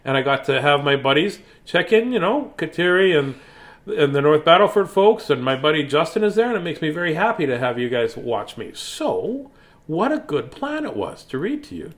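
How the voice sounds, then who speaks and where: A man's voice: a little high, very animated, normal speed. A man, chatting casually and reading to viewers as a bedtime storyteller in a silly, playful manner. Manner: silly, playful, with singsong scatting. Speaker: children's bedtime story reader.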